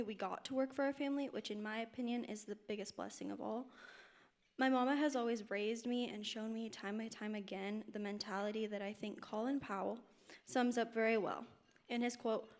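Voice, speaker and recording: A young woman in her late teens speaks on a panel in a fairly high voice. Her delivery is articulate and earnest, with the formal phrasing of prepared answers. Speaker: panel guest, student speaker. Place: indoor luncheon event before an audience.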